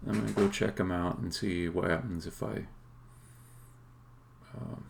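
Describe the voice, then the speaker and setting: A man thinking aloud in a halting, casual way as he live-streams his game development work, often muttering to himself. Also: low voice, steady tone, vocal fry, noisy background.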